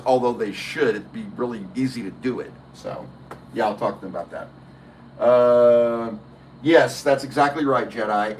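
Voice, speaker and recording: A man's voice: medium pitch, slight background noise, low-quality recording. A middle-aged man hosts a casual livestream. He talks loosely and sociably, replying to chat comments by name as they come in. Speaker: livestream host. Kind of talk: casual live stream chat.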